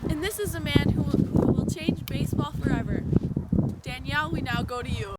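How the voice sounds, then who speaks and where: Echoey, music behind. 1920s roving reporter played by a woman, high voice, scripted amateur interview style. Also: brisk, very noisy, close to an everyday voice. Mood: upbeat. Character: bright-mannered female 1920s field news reporter. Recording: roomy distant mic, music behind.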